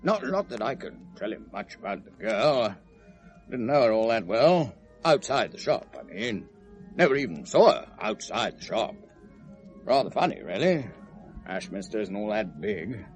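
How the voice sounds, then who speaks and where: A man's voice: on the low side, sing-song, moderate pace, soft background music. A man with a British accent acting a diffident, evasive character in a 1970s radio drama, speaking in short, offhand phrases. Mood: offhand, uneasy. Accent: British English.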